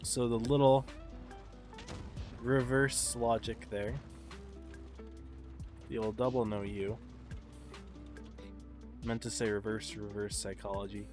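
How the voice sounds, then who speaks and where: A man casting an esports match on a live stream, congested and tired, calling the play in a relaxed, subdued way. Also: on the low side, takes it slowly, pauses often, music in the background. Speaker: esports caster.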